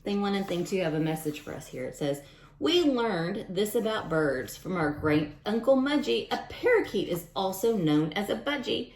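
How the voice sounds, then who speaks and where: Animated woman reading aloud to children. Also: medium pitch, moderate pace, roomy sound. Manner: expressive, addresses young listeners directly. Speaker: teacher reading a picture book aloud to children. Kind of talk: read-aloud storytime for young children with chatty asides.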